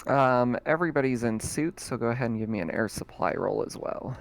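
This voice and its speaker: Man, game master, mid pitch, relaxed chatty narration, fillers. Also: fairly quick, vocal fry.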